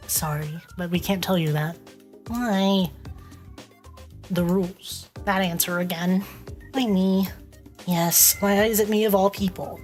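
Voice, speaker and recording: Woman streamer, stuffed-up nasal voice, mid-pitched, playful running commentary over a story game, faint music underneath. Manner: playful, reads dialogue aloud and breaks off to joke. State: stuffy, congested voice. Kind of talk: reading a visual novel aloud with live chat.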